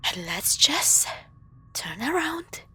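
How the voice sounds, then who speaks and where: A woman playing a frightened, protective girlfriend in a horror roleplay, speaking in a hushed, hesitant, breathy whisper. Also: high voice, big pitch swings, takes it slowly, pitched above the performer's own voice, full character voice. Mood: hushed, nervous fear. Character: frightened, protective girlfriend lost in a haunted hospital. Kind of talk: roleplay horror audio drama.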